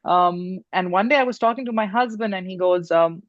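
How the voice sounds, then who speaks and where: A woman's voice: medium pitch, fairly quick, no echo. An older woman from Pakistan speaks as an interview guest over a video call. Her voice comes through dry and a little dull, lo-fi. She talks casually, with fillers and easy laughs. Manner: warm, laughs often, many fillers. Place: home room.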